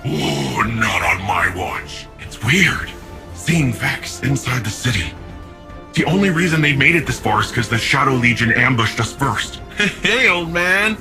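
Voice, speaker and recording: A man's voice: mid-range voice, animated, unhurried, gravelly, sharp tone, soft background music, full character voice. An actor playing a big, cheerful, cocky young male city defender in a video game, with a breezy, slangy, eager and friendly manner. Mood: defiant, breezy.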